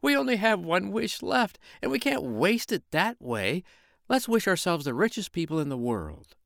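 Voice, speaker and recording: A man voicing a sharp-tongued peasant wife in a storybook reading, raising his voice high and shrill. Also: sing-song, moderate pace, creaky, no room sound, clean studio sound, heavily acted. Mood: pleading. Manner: shrieking, scolding.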